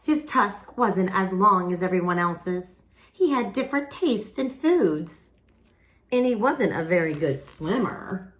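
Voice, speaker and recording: A woman reading a picture book aloud expressively, with dramatic pauses and casual asides to young listeners. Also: mid-range voice, expressive. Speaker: children's picture book reader.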